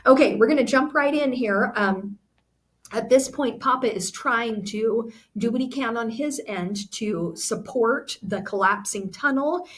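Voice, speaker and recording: A woman teacher reading a novel aloud to students, expressively, pausing to explain and check that they follow. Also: a little high. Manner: expressive, instructive, checks understanding with rhetorical questions. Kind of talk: classroom read-aloud with explanatory asides to students.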